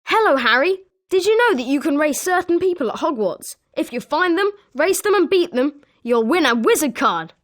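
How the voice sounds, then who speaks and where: A woman's voice: sits high, big pitch swings, normal speed, hardly any pauses. A woman voicing a chatty, opinionated young student at a wizard school in scripted video game lines. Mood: helpful, eager.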